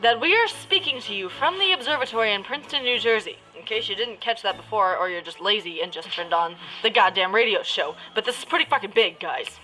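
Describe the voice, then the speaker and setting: A woman's voice: medium pitch, animated, brisk, hardly any pauses, sharp tone, faint music behind, rough recording. A woman playing an exasperated, mock-formal radio interviewer who slips into crude asides, in a parody drama. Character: prim, exasperated, foul-mouthed radio news interviewer. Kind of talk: parody of 1930s radio announcing, partly improvised.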